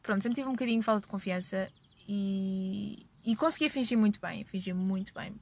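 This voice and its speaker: A woman's voice: mid-range voice, a little fast, gravelly. A young woman with a European Portuguese accent, hosting a podcast and chatting casually from a parked car, laughing often and correcting herself as she goes. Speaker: podcast host. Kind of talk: casual personal storytelling.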